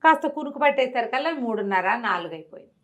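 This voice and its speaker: A woman telling a devotional story in lively, conversational Telugu speech, often repeating words and restarting. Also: fast talker. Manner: lively, rises and drops in pitch and volume.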